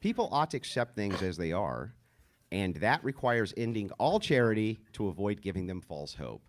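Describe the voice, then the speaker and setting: A man's voice: on the low side, very animated, moderate pace. A man delivering a sardonic, emphatic debate argument. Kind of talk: debate speech played back.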